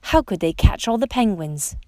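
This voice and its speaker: Animated woman reading a children's storybook. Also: mid-range voice, moderate pace, dry recording. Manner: expressive, dramatic emphasis on exclamations and questions. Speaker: storytime reader. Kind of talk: read-aloud children's picture book narration.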